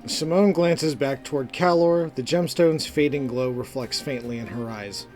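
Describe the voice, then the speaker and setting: Measured male fantasy narrator over soft music. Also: mid-range voice. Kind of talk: scripted fantasy story narration. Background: background music.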